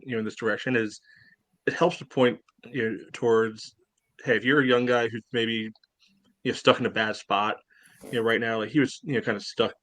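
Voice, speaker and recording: Brief: male co-host, rambling and filler-heavy, flat delivery, dry close mic. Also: lowish voice, no room sound. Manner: rambling, many "you know" fillers. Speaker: podcast co-host. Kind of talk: casual sports chat.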